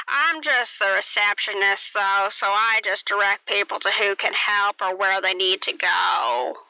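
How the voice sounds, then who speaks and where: Woman playing a blunt, very bright-voiced receptionist. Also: medium pitch, smooth, no echo, poor audio. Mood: bored, brisk. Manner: dismissive, matter-of-fact. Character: blunt, jaded, gossipy clinic receptionist. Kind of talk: amateur radio play acting.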